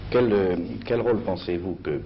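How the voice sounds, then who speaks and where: A man's voice: sits low, normal speed. A man interviewing a writer, asking formal questions and giving brief affirmations. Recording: dull archival interview recording with faint hiss.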